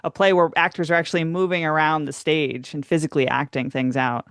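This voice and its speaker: A woman's voice: low voice, fairly even intonation, a little fast, dry recording, clean studio sound. A woman working as a radio interviewer, asking questions in a conversational way.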